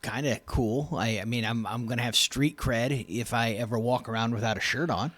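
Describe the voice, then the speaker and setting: A man co-hosting a relaxed podcast at a mid pitch. He stumbles and restarts often, circling his point before landing a dry joke. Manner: halting, many restarts and fillers, dry jokes. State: recovering from recent surgery. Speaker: podcast co-host. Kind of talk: casual chat.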